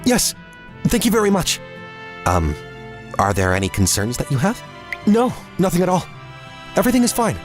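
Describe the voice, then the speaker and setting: A man's voice: high-pitched, big pitch swings, moderate pace, pauses often, faint music behind, pitched above the performer's own voice. A man voicing a nervous, apologetic young subordinate, stammering and pleading, in a comic drama dub.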